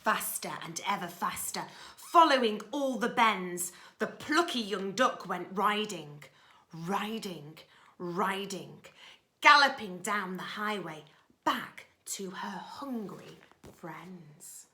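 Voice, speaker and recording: A woman teacher reads a children's story with great expression. Her delivery is unhurried and very animated, bright in tone, with dramatic shifts between projected lines and hushed asides. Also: mid-range voice.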